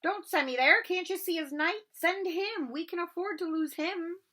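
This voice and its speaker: A woman's voice: very high-pitched, normal speed, pushed and bright, a little hiss or hum, voice pushed up. A woman voicing a bossy, distrustful living chess piece in a storybook, speaking urgently. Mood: bossy alarm.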